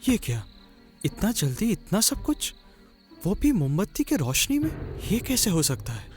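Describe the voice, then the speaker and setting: A man acts out a young traveller in a cartoon ghost story. His voice is high for a man, polite but uneasy and full of hesitant questions, with music clearly behind it. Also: wildly swooping pitch, moderate pace. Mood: puzzled. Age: young adult. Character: anxious, polite young traveller sheltering from a storm. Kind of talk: acted Hindi cartoon horror dialogue.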